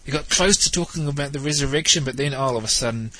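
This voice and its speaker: Host: New man, congested nasal tone, bright edge, wry and probing commentary. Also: mid-range voice, fast talker, no echo. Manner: wry, questioning, lightly teasing. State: nasal, stuffed up from hay fever. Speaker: talk show host. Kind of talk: spoken theology commentary monologue.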